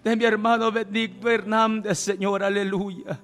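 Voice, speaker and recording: A man's voice: sits high, level tone, moderate pace, a bit bright. A male preacher leading a fervent Pentecostal healing prayer, pleading and exclamatory, with repeated cries of praise. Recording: music playing behind the voice. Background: music.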